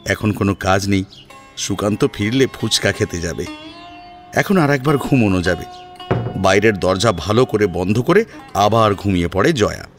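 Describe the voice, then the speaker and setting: A man's voice: lowish voice, expressive, normal speed, faint music behind. A man narrating a dramatised family story in a scripted storytelling style.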